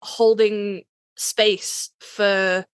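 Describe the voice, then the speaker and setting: A woman's voice: medium pitch, little pitch movement, extremely slow, clear, resonant tone, dry recording, studio quality. A woman co-hosting a podcast in casual fan chat, informal and emphatic, repeating phrases to drive a point home. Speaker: podcast co-host.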